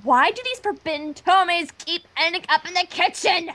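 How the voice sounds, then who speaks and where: A woman's voice: very high voice, normal speed, bright, forceful tone. A woman voicing flustered, indignant young female cartoon ponies with a whiny, defensive manner.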